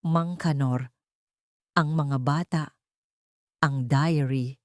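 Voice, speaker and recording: A woman playing a weakened but determined young housemaid, hushed and earnest, in an audiobook drama. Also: low voice, steady tone, very slow, dry recording, clean studio sound. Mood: weak, urgent.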